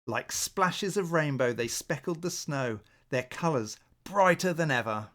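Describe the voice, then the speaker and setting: Slow-paced man reading a bedtime story. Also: on the high side, lively intonation, a bit bright. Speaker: storybook narrator. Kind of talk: bedtime children's story read aloud.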